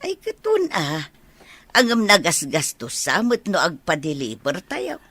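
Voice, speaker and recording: Husky, slow-talking older Ilocano mother. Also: lowish voice, huge pitch swings, fairly bright tone, no room sound, heavily acted. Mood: warm, teasing. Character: doting, easygoing older village mother. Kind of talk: Ilocano radio drama acting.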